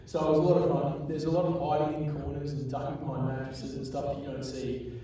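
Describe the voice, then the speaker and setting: An Australian man, an actor, recalling a shoot in a relaxed, anecdotal way during a live stage Q&A. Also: fairly high pitch, fast, slight background noise. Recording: very echoey venue, distant mic.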